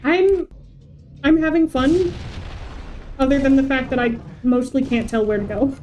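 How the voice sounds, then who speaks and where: A woman's voice: high-pitched, measured pace, dark tone, soft background music. A woman game streamer, a non-native English speaker, chatting casually and commenting as she plays. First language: not English. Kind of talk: casual livestream commentary and chat. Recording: faint background noise.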